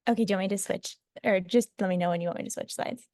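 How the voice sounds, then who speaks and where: A woman's voice: mid-range voice, rapid-fire, darkish tone, dry recording, studio quality. A woman organizing a student club meeting from a university classroom, chatting in a friendly way with a remote guest. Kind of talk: casual chat.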